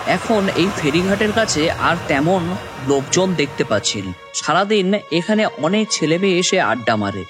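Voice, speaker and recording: A man's voice: a little high, lightly acted. A man playing a dejected, jobless young son who narrates his own cartoon story. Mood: melancholy narrating, then calm narrating. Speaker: narrator and lead character. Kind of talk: first-person dramatic story narration. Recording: music bed under voice.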